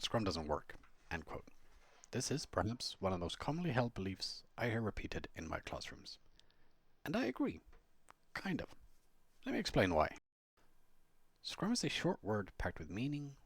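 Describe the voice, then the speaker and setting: A man with a fairly low, husky voice narrates an instructional script. His intonation moves around a lot as he explains things in measured steps with conversational asides. The sound is close and dry. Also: huge pitch swings, dry recording. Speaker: blogger and Scrum trainer reading own blog post.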